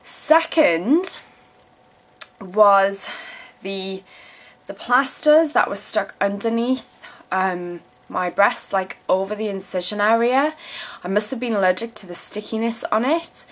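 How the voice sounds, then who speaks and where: A woman's voice: mid-range voice, takes it slowly. Frank young woman chatting casually. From South Africa, moved to England in her teens. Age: 20s. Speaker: vlogger. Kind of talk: casual chat answering viewer questions. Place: small room at home, indoors. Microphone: camera mic at about arm's length.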